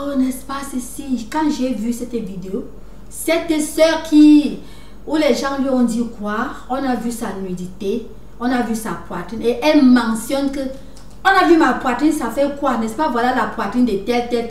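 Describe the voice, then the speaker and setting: A fairly high-voiced woman lectures her viewers, breaking off and starting over, tossing in "right?" to check they follow. She sits a bit back from the mic in an echoey room, with faint noise underneath. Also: measured pace, darkish tone, far from the mic. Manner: lecturing, repeats "n'est-ce pas", many restarts. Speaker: video commentator. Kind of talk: casual monologue to viewers.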